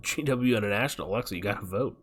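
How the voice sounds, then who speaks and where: A man's voice: fairly low pitch, rapid-fire, slight background noise. A man streaming a game, chatting casually and joking as he reads game text aloud. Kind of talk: casual gameplay chat. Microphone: desk mic.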